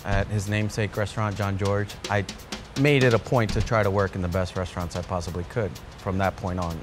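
Reflective chef. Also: male voice, on the low side, steady tone. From born in Colombia, raised in Queens, New York. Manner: reflective, halting, many restarts and "you know" fillers. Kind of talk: documentary interview. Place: restaurant dining room. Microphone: off-camera interview mic, seated close framing. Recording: music bed under the voice.

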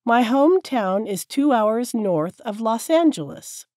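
A woman teacher reading short sentences slowly and clearly, each one said several times for learners to repeat. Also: fairly high pitch, lively intonation, a little mellow, no echo, clean studio sound. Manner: careful, clear enunciation. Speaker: English teacher. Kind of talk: slow repeated drill sentences.

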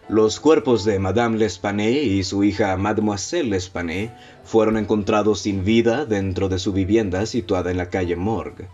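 A man doing a measured gentleman narrator, low voice, dull recording, soft music behind. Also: lightly acted. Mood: calm. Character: composed curious male gentleman narrator. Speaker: narrator and detective companion. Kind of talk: amateur radio drama acting.

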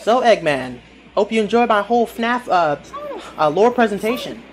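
A woman's voice: mid-range voice, animated, normal speed, crowd noise, close to an everyday voice. A woman voicing a cocky young male cartoon game hero in an improvised fandub, casual, slangy and mocking. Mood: friendly. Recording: game music behind.

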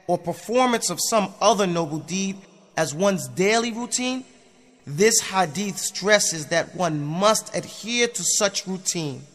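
A man's voice: sits high, fairly bright tone. A man narrating scripted religious commentary in an explanatory style. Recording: long hall-like reverb.

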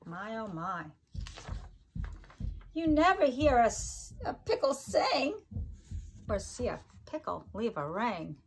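A middle-aged woman reading a picture book aloud to young children like a teacher, with expressive, sing-song delivery and chatty asides. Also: a little high, slow pace, long pauses, slight background noise. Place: kitchen-like room at home, indoors.

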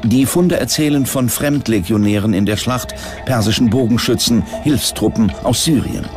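A man's voice: mid-range voice, normal speed. A man reading scripted documentary narration as a narrator. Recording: music behind the voice. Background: background music.

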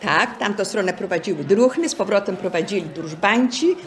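A woman's voice: medium pitch, animated, moderate pace. An older woman, telling anecdotes casually in rural speech. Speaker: senior sharing memories. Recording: chatter of a group behind.

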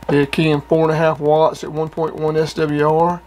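A man's voice: fairly high pitch, monotone, moderate pace, darkish tone, poor audio. A man giving a casual demonstration of gear as a hobbyist. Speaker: radio hobbyist reviewing gear. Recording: clear background noise.

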